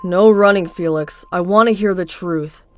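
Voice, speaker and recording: A woman's voice: on the low side, moderate pace, darkish tone, smooth, soft background music, lightly acted. A woman voicing a cold, accusing teenage boy. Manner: cool, accusing.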